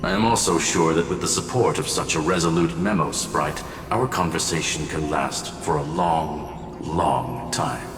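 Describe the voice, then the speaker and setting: An actor playing a proud, composed intellectual in a video game, formal and measured, lecturing with polite condescension. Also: male voice, a bass voice. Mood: smug, assured. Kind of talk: video game character acting. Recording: echoey, distant, reverberant, music behind.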